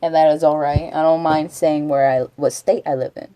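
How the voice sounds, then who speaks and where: Chatty low-voiced woman streamer. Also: steady tone, a little fast, clean tone, no echo, dull audio. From Texas. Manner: chatty, rambling, answers chat. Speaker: Twitch streamer. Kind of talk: casual live-stream chat.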